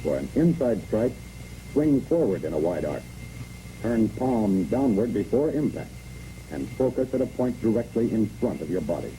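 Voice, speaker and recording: A man's voice: fairly low pitch, dark tone. An American man reads a scripted 1960s military instructional narration in clipped, step-by-step commands. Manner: clipped, imperative, step-by-step delivery. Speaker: training film narrator. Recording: noisy, muffled 1960s training film soundtrack.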